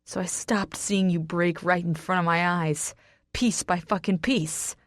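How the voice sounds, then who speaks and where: A woman voicing a brooding, possessive, hot-tempered man with a rasp, as a character in a romance audiobook. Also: lowish voice, steady tone, measured pace, no echo, studio quality, natural voice. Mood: pained confession. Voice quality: rasping.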